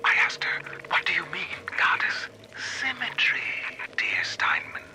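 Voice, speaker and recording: A man's voice: high voice, a little slow. An actor voicing a rapturous, deranged male surgeon, theatrical and dreamy, sometimes sinking to a whisper in a game audio diary. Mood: entranced. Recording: very noisy, crackling audio-diary recording.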